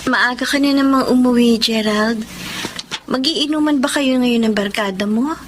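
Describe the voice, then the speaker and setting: An actress with a Filipino accent playing a gentle, wistful blind wife in a radio drama, speaking softly and hesitantly. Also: female voice, on the high side, normal speed, a little hiss or hum, natural voice. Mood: hopeful.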